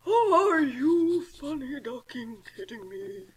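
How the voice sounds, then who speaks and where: Woman doing a slow, gloating talking-book villain. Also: sits high, sing-song, heavily acted. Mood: smug, scornful. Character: smug, theatrical male evil talking dictionary villain.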